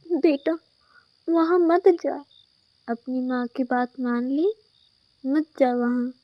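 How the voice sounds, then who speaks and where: A woman's voice: sits high, steady tone, slow pace, no echo, highs cut off. A woman voicing a fretful village mother who implores her son, in a melodramatic cartoon style. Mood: alarmed pleading. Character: anxious, pleading village mother of grown son.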